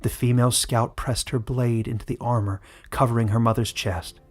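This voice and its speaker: Man narrating fantasy with measured suspense. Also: medium pitch, fairly even intonation. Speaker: author and narrator. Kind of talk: dramatic scripted fantasy narration.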